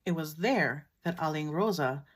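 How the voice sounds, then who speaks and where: A Filipino American woman who tells a folktale in a relaxed, matter-of-fact way. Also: low voice, moderate pace, breathy edge. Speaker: storyteller. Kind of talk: casual storytelling.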